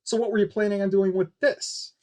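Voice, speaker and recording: A man voicing a bold, plain-spoken young woman stunt pilot in an audiobook, brisk and matter-of-fact. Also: sits high, level tone, a little mellow, pitched above the performer's own voice, lightly acted. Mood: confrontational. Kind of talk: audiobook character dialogue.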